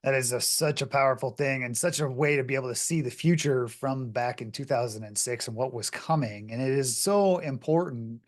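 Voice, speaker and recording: A man's voice: medium pitch, fairly quick, hardly any pauses. A man hosting a business podcast, introducing a guest and asking questions in an upbeat, emphatic manner. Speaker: podcast host. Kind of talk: interview hosting.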